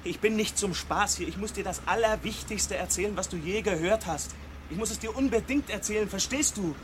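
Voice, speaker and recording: Insistent young male friend, played by an actor: high voice, brisk, persuasive, radio comedy, clear background noise. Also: close to an everyday voice. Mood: urgent, insistent. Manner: urgent, persuasive. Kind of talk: German radio comedy drama. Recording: clearly noisy outdoor scene.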